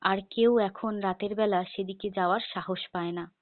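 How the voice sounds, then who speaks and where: Female horror narrator, measured and dramatic, mid-pitched, on a narrow phone-like recording. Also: steady tone, no room sound. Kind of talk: scripted first-person ghost story narration. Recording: tinny phone-like narrow band.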